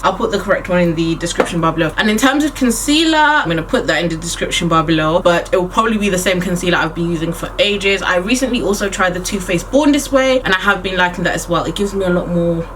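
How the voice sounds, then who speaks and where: A woman's voice: on the low side, quick pace, few pauses, distant mic, noisy background. A woman in her late twenties chatting casually and playfully to her viewers in a Q&A vlog. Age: 20s. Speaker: beauty and lifestyle vlogger.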